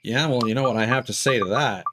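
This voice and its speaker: A man's voice: medium pitch, fast talker, noisy background. A man doing casual, joking, sweary commentary on a gaming livestream. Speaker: game streamer. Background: game music.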